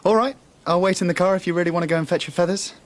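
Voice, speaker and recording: A man's voice: sits high, very fast, close to an everyday voice. A man playing a heartbroken, doting father in a radio drama, speaking in an earnest, weary, intense manner. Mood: tender, hurried.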